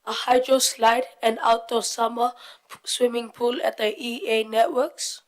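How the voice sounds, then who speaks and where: Young woman with a fairly high voice. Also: flat delivery, unhurried. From Fiji. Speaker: youth forum presenter. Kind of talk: prepared group presentation, read aloud.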